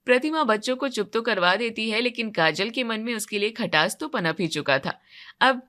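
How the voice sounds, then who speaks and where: Female narrator for scripted family drama stories, bright and fairly high-pitched. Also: animated, normal speed. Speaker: story narrator.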